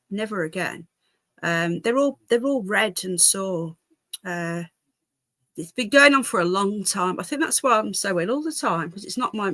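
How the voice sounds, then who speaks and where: A woman's voice: medium pitch, sharp tone, dry recording. A British woman with a congested voice hosts a livestream in a casual, rambling way, chatting to viewers and easily drifting into asides. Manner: chatty, easily distracted, jumping between asides. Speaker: livestream host.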